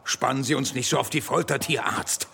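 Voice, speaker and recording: A mocking, impatient military general in an audio comedy. His voice is gravelly and forceful, with curt, sarcastic delivery. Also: medium pitch, brisk, slight background noise. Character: gruff, mocking, impatient male army general. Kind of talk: comedic sci-fi audio drama acting.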